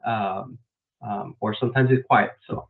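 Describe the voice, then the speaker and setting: A man raised in Miami answering interview questions about his work as a software developer, explaining step by step with frequent "um" fillers, over a video call. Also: fairly low pitch, unhurried, vocal fry. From grew up in Miami, Florida. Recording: tinny phone-like online call.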